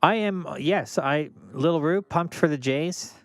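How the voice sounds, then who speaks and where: A man's voice: on the high side, a little slow, dry recording. A young man, a radio traffic reporter chatting casually and rambling as he reads viewer questions between reports. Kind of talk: casual live-stream chat with on-air traffic reports.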